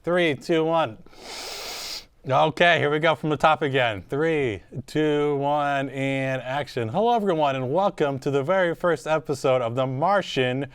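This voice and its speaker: A man hosting an improvised comedy interview in a casual, joking, halting way. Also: on the high side, clear, resonant tone, dry recording. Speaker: comedy show host.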